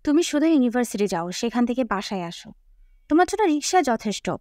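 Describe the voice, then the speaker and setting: Casting: female reader as dismissive young elder son, blunt, high voice, audiobook narration, dry sound. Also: very animated, fairly quick, a little mellow, no room sound.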